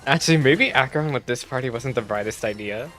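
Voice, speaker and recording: A man's voice: mid-range voice, quick pace, a bit bright, faint music behind. A man giving casual, teasing live reactions as a game streamer, chatty and joking.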